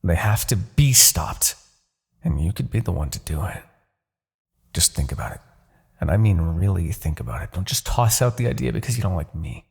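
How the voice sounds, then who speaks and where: A low, gravelly demon king played by a man for a fantasy ASMR roleplay. He is a smug, seductive tyrant who taunts and manipulates. His delivery swings widely and theatrically, drawing out words with condescension. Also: big pitch swings, moderate pace, darkish tone, heavily acted. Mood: impassioned, persuasive.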